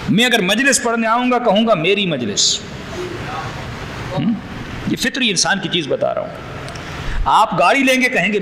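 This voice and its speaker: A man preaches with passion in a high, bright voice. He fires off rhetorical questions and acts out both sides of a quarrel. His voice rings in a long hall reverb over faint noise. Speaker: Shia cleric. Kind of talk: sermon.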